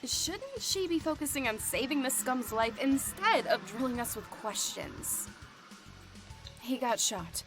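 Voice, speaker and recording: High-voiced, curt detective boss. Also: female voice, expressive, unhurried. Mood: guarded, curt. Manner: curt, defiant. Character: sharp, guarded female detective boss with a short temper. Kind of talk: visual novel voice acting. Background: music.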